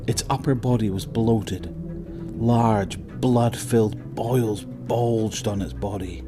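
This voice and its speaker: Low-voiced man narrating horror drama. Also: fairly even intonation. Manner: measured, suspenseful. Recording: voice over prominent music.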